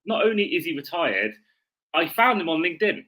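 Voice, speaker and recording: A man's voice: on the high side, sing-song, fairly quick, sharp tone. A man co-hosting a sports podcast in casual, chatty, informal conversation.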